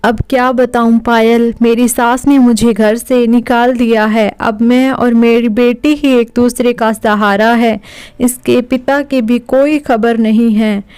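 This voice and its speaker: A woman plays a poor young mother from a cartoon, gentle and plaintive. The voice is smooth and fairly high, and the pleading comes out slowly. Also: steady tone. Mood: pleading, sad.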